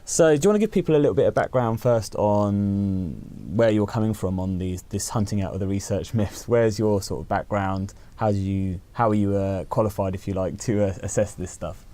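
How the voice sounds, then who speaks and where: A man hosts an interview podcast and asks long, conversational questions. His voice is low and mellow, and he phrases things loosely as he goes. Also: a little fast. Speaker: podcast host.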